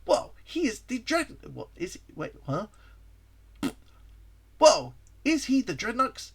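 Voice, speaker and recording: A man voicing an eager, excitable young boy fox sidekick from a fan-written story. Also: very high voice, takes it slowly, slightly rough, voice pushed up, exaggerated voice. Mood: eager, excited.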